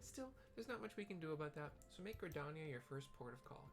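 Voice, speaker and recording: A man's voice: on the high side, lively intonation, quick pace, gravelly, soft background music. A man voicing a small, cheerful young woman helper in a video game, chatty and eager, read aloud from on-screen dialogue. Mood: chirpy.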